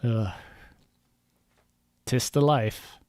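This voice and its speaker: A man's voice: mid-range voice, extremely slow, darkish tone, no room sound. A man chatting casually on a game stream, rambling and wry, often trailing off with um and uh.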